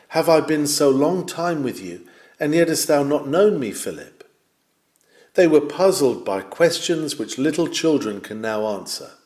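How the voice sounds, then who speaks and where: A man reading a nineteenth-century sermon aloud, measured and emphatic, rising on his questions. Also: on the high side, darkish tone. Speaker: sermon reader. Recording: roomy echo.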